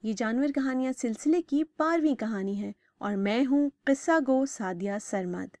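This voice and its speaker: A high-pitched woman narrates a story for children. She reads expressively, as a storyteller does. The sound is close and dry, with very little room on it. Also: warm tone, no echo.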